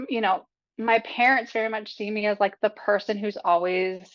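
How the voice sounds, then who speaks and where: A woman's voice: mid-range voice, fairly bright tone. A young adult woman speaking as a podcast guest in a candid, casual way, full of fillers and frank about her life. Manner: chatty, filler-heavy, frank and profane. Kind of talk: candid casual interview talk. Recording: dull lo-fi audio.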